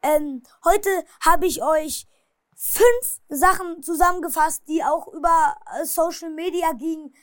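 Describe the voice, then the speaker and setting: Very high-voiced woman recapping football. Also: a little slow, a bit bright, no echo, clean studio sound. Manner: hesitant, many fillers, apologizes for her pronunciation. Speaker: football news vlogger. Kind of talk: casual football recap and news.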